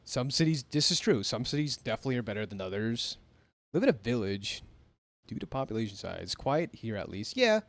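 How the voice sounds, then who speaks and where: A man's voice: medium pitch, sing-song, brisk, a bit bright, no echo. An American man chatting casually on a live gaming stream, laid-back and laughing often as he reads chat. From United States. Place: home near a big city.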